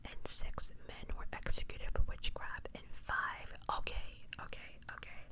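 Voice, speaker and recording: A woman whispering true-crime ASMR in a casual, chatty way, often correcting herself and adding opinionated asides. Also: a little slow. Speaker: ASMR video host.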